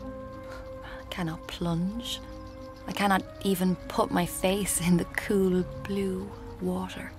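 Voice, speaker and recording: An actress with an Irish accent playing a scheming, guilt-haunted young woman writer in a radio drama, with a breathy, creaky voice. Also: lowish voice, level tone, slow pace, over music. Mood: confessional, smug. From Ireland. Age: young adult. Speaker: lead actor and narrator in a radio drama. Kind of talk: intimate first-person radio drama narration.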